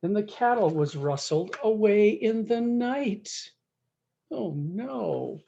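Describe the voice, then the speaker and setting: A woman's voice: medium pitch, lively intonation, takes it slowly, gravelly, warm tone, highs cut off. A woman reading a rhyming cowboy storybook aloud in a folksy way, with short asides to her listeners. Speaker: story time host reading a picture book.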